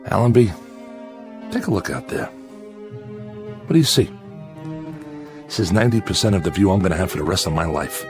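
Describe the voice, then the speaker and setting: An actor in a radio drama playing a sardonic, weary convict living alone in exile on an asteroid. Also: male voice, low-pitched, expressive, moderate pace, pauses often. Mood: bitter, pleading. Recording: music behind.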